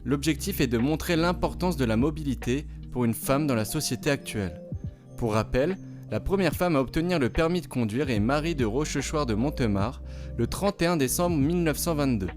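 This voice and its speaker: A man reading a scripted, informative narration in an even manner. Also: mid-range voice, brisk, faint music behind.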